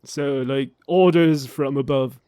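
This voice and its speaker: A man's voice: medium pitch, steady tone, takes it slowly, mellow, clear, resonant tone. A man chatting casually as a co-commentator, repeating game lines and explaining their pronunciation. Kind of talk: casual chat, language commentary.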